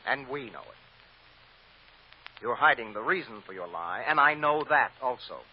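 An actor playing a stern, righteous defense lawyer, deliberate and insistent, in an old-time radio drama. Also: male voice, mid-range voice, animated, breathy edge. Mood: relentless, forceful. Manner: deliberate, insistent, repeats phrases for emphasis. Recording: dull, noisy old radio recording.

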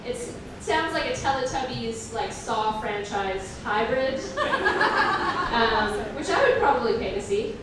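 A woman's voice: a little high, big pitch swings, measured pace, very noisy. A woman performing a live comedic reading, with wry, mocking, conversational asides to her audience. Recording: echoey room, distant mic, audience sounds.

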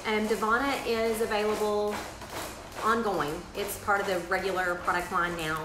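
A woman in her thirties talks through products in a casual home review, filling pauses with ums as she explains. The room rings with echo. Loud background noise sits under her voice the whole time. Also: medium pitch, level tone. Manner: explanatory, hesitant with frequent ums. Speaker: co-host reviewing wellness products. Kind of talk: informal product review chat. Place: living room, sitting on a couch.

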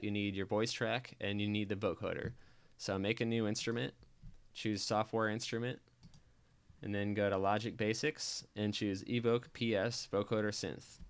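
Low, smooth-voiced man giving a tutorial. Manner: informal, step-by-step instructing. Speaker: tutorial presenter. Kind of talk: casual software tutorial narration.

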